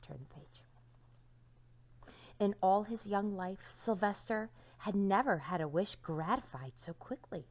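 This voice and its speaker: A woman teacher reading a storybook aloud to young children, with dramatic emphasis and hushed endings to her phrases. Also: mid-range voice, expressive, a little slow.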